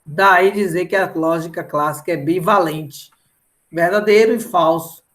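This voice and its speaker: Slow, high-voiced Brazilian man teaching. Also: fairly bright tone. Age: middle-aged. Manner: didactic, with frequent tag questions. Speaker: mathematics teacher. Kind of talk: lecture explaining slides in an online lesson.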